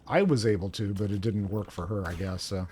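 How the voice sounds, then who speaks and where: A man's voice: fairly low pitch, fairly quick, a little mellow, a little hiss or hum. A man chatting casually as he plays a video game as co-host of a livestream. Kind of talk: casual chat while playing a video game.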